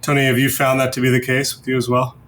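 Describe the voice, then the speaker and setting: A man's voice: medium pitch, monotone, quick pace, fairly bright tone, a little hiss or hum. A man hosting a discussion podcast in a conversational style. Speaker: podcast host.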